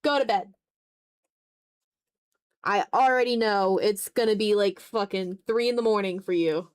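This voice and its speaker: A woman's voice: medium pitch, a little slow, clean tone. A woman chatting casually on a live art stream as she draws, playful and a bit dramatic, with offhand swearing. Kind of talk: casual live-stream chat while drawing.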